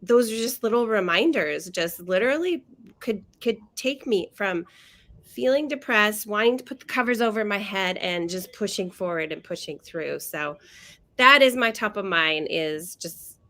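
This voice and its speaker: A woman co-hosting a casual chat over a video call, nasal from a cold, talking haltingly with many fillers and restarts. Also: medium pitch, animated, pushed and bright. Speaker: co-host.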